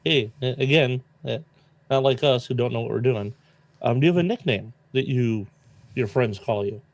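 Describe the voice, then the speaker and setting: A man's voice: mid-range voice, moderate pace, no echo. A man joining a live stream, giving friendly advice and padding his talk with "you know.". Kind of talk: casual advice chat.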